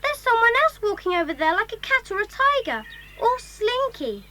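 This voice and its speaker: A woman's voice: extremely high pitch, big pitch swings, moderate pace, noisy background. A woman voicing an eager, chatty schoolboy in a children's audio drama. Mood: excited, amused.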